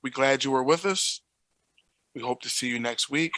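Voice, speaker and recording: A man with a Philadelphia accent, co-hosting a podcast in casual chat, an animated storyteller who laughs often. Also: medium pitch, moderate pace, no room sound. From Philadelphia area. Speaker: podcast co-host.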